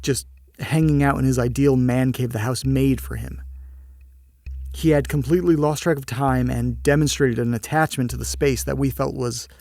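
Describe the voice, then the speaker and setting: An actor playing a polite field agent who reports on cases in a measured, slightly tentative way in an audio drama. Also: male voice, mid-range voice, steady tone, creaky, dark tone, no echo, clean studio sound, lightly acted. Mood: measured, reporting.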